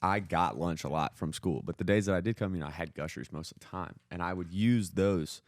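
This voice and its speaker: A man's voice: low-pitched, a little fast, no room sound. A young man from North Carolina co-hosting a podcast, chatting casually among friends. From North Carolina, United States. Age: young adult. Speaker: podcast co-host.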